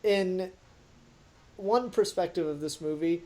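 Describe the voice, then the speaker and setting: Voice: mid-range voice, takes it slowly, warm tone. Exasperated, emphatic podcast reviewer. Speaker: podcast host reviewing an audio drama. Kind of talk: casual critical review chat.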